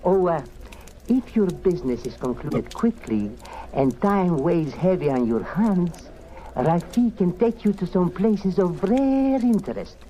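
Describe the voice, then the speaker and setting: An actor playing a sly, greedy underworld cafe owner with a foreign accent and a wheedling, theatrical manner in an old-time radio drama. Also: male voice, sits high, sing-song, unhurried, warm tone. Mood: oily, enticing. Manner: wheedling, theatrical, ends demands with "no?". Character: sly, greedy underworld cafe proprietor, a charming male scoundrel. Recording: noisy old radio recording.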